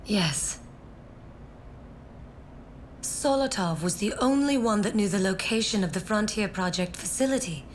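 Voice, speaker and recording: A woman voices a grave, long-lived widow in a video game drama. Her mid-range voice is measured and solemn as she explains things. Faint noise sits behind her. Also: mid-range voice, close to an everyday voice. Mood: solemn, reflective. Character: grave widowed female survivor who has outlived her years. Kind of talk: English-dubbed video game cutscene acting.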